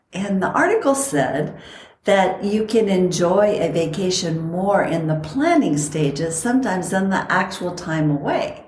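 A woman's voice: lowish voice, darkish tone, echoey room, slight background noise. A middle-aged woman from Costa Rica, co-hosting a podcast and telling stories in a reflective, chatty way. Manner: reflective storytelling. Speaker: podcast co-host. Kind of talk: casual chat.

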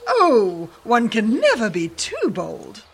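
A woman playing a spirited, bold young lady in a fairy-tale radio drama, with theatrical, breathy exclamations. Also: on the high side, wildly swooping pitch, slow, slight background noise, full character voice. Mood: bold, carefree. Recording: music behind the voice.

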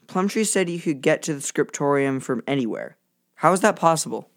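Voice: mid-range voice, lively intonation, fairly quick, close to an everyday voice. An actor playing a bookish, precise boy in a children's audio drama, speaking in a pedantic, matter-of-fact way. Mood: puzzled.

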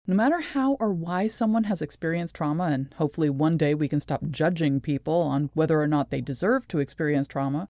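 A woman presenting a scripted explanatory talk in a measured, deliberate and articulate way. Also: sits low, dark tone, dry recording, clean studio sound. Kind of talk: scripted explanatory narration with read quotations.

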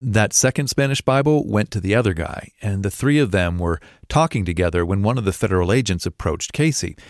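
A man narrating a true story in a calm, measured scripted style. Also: on the low side, no room sound, studio quality.

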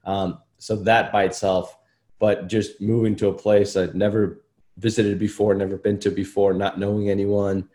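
A deep-voiced man from Iceland, English not his first language, talking as a guest in a relaxed interview. He speaks in a friendly, thoughtful way, pausing on fillers and restarting his sentences. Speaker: golf coach. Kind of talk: casual interview conversation.

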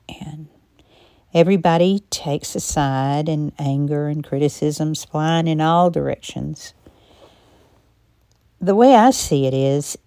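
Woman, podcast host, hoarse, gravelly, non-native English accent, slow reflective delivery, mellow dark tone. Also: deep voice, dry recording, studio quality. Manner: calm, reflective, measured delivery with frequent pauses. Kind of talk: personal commentary monologue.

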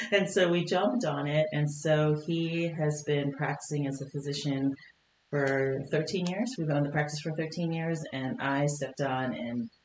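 A middle-aged woman from Kentucky, a podcast guest, talking in a warm, chatty way with frequent laughs and fillers. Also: low-pitched, steady tone, a little slow, roomy sound, a little hiss or hum. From Kentucky, United States. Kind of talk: conversational interview. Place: podcast studio.

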